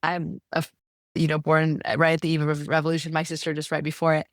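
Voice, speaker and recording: Low, even-toned woman telling her story. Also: quick pace, no echo, clean studio sound. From Iran, moved to the US as a child. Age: 40s. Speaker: interview guest, law professor. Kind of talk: personal storytelling in conversation.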